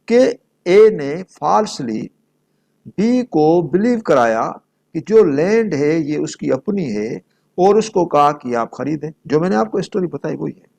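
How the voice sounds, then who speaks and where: A man's voice: on the high side, gravelly. A man giving an explanatory law lecture, reading out formal text and restating each point in a didactic way. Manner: didactic, repeats and rephrases points. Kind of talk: explanatory lecture mixing read-out statute and plain examples.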